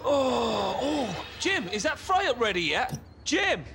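An actor playing a cheeky, hungry young houseguest in a game cutscene, brash and chatty with a British accent. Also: male voice, very high-pitched, sing-song, slow pace, gravelly, over music, full character voice. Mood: impatient shouting. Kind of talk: video game cutscene acting.

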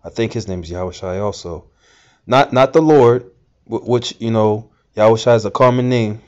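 A man's voice: fairly low pitch, normal speed. Guy teaching from the bible, loose and rambly, kinda muffled recording. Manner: rambling, frequent fillers and restarts. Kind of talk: informal scripture teaching.

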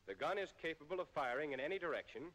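An American man narrates in the formal style of a 1940s military training film. His voice sits a little high, and he speaks in measured, precisely enunciated sentences. The sound is an old film soundtrack with a long reverberant tail. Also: very animated, fairly bright tone.

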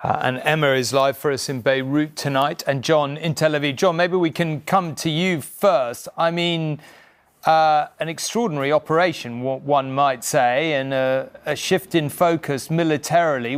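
British news anchor guy, middle aged, voice a bit high, interviewing reporters live from the studio. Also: fairly even intonation, normal speed, smooth. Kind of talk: live broadcast two-way questioning.

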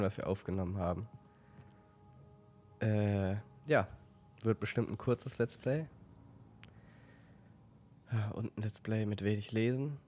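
Low-voiced man with a cold, gaming. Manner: relaxed, stumbles when reading aloud. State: slightly congested from a cold. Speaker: let's play gamer. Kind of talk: casual gameplay commentary.

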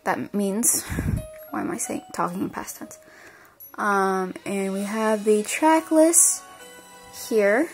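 A woman chatting casually while unboxing an album at her desk, rambling with lots of fillers and her voice going hoarse. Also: mid-range voice, takes it slowly, soft background music, poor audio. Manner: rambling, many fillers and restarts. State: losing her voice. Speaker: unboxing vlogger.

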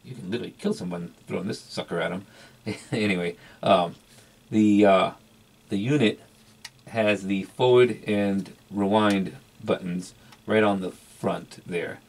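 A middle-aged man with a very low voice and plenty of gravelly fry talks through a product review off the cuff. He rambles a little, hesitating and doubling back on words for emphasis, in the casual way of a hobby collector. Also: a little slow. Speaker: vintage electronics collector and reviewer.

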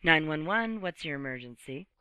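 An actress playing an unflappable, folksy emergency dispatcher in a radio play, familiar and wry. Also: female voice, low voice, lively intonation, moderate pace, heavy background noise. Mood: businesslike, friendly.

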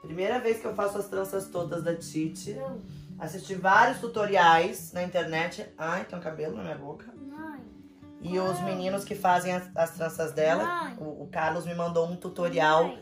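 A woman in her thirties from Brazil, chatting warmly to camera in a casual family vlog, filmed on a phone at arm's length at a country house. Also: lowish voice, fairly even intonation, moderate pace. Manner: chatty and affectionate, talking to camera and to her kids. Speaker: vlogger. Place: country house veranda and garden. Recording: background music.

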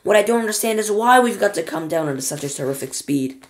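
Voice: mid-range voice, very animated, fast, voice pushed up, close to an everyday voice. A reader plays a young boy from a storybook. He is earnest, loyal and curious, with a pleading, questioning way of talking. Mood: puzzled, urgent.

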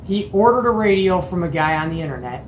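Man, middle-aged, casual storytelling, colloquial and animated, roomy sound, faint noise. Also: sits high, quick pace. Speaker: host of a CB radio show. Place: small indoor hobby radio room. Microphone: camera or phone at arm's length. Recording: roomy echo, background noise.

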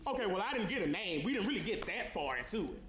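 A man's voice: high voice, very animated, fast talker, a crowd in the background. A man playing a sassy, gossipy, dramatic hotel worker in a stage comedy, projecting his exclamations broadly. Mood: excited. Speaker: stage actor. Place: indoor theater stage. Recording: echoey theater stage with audience.

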